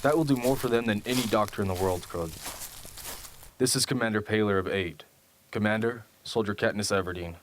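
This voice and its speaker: A man playing a steady, commanding military officer in an amateur radio drama. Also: fairly low pitch, brisk, slight background noise, natural voice. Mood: steady, reassuring.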